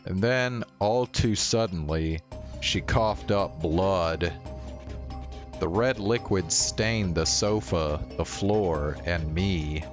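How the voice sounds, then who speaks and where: A man with a low voice reads visual novel narration aloud as a game streamer. His delivery is slow, measured storytelling, with game music playing behind him.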